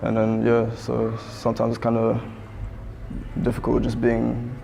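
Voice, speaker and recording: A man's voice: mid-range voice, monotone, unhurried, vocal fry, mellow. A young man giving laid-back interview answers, full of fillers. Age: young adult. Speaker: student interviewee.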